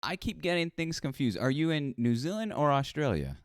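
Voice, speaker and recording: An American man hosts a relaxed interview, talking in loose, chatty runs that often restart mid-thought. His voice is smooth and resonant. The sound is dry and close, with no room behind it. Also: a little high, brisk, no room sound. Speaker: podcast host. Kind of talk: casual interview chat.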